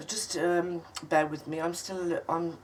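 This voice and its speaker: A woman with a husky, croaky voice from a lingering cold talks through a crafting project in a rambling, halting way. Her ums are frequent and a cough breaks in now and then. She sits a little back from the mic, with a faint hiss underneath. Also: fairly low pitch, steady tone, darkish tone. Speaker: craft channel host. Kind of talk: casual crafting tutorial. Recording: distant mic with faint noise.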